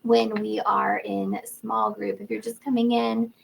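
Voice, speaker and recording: A woman's voice: mid-range voice, flat delivery, a little slow, slight background noise, rough recording. A woman hosting a live teaching webinar, greeting attendees by name in an upbeat, casual way while she is losing her voice from a sore throat and cold. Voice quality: gravelly, husky. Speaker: webinar host, literacy educator. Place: indoor room.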